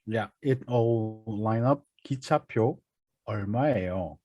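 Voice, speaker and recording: A Korean man co-hosting a casual podcast, speaking English with many pauses and fillers as he searches for words. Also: fairly low pitch, dark tone, dry recording, dull audio. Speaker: podcast co-host. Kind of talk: casual chat.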